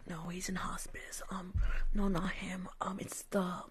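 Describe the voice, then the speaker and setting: A woman's voice: fairly low pitch, little pitch movement, measured pace, natural voice. A woman whispering an ASMR roleplay as a rude young nail technician who gossips on the phone mid-job and pops her gum. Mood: catty. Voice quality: soft whisper. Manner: catty, dismissive, chatters on the phone mid-job.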